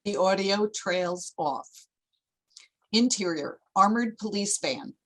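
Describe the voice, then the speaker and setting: A woman's voice: mid-range voice, expressive, slow pace, low-quality recording. A woman reading screenplay stage directions as a plain, descriptive narrator.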